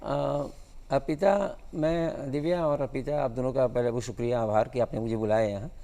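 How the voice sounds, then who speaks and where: Gravelly man in his sixties, reverberant hall. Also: medium pitch, fairly even intonation, normal speed, dark tone. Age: 60s. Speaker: guest cartoonist. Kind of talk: interview answers.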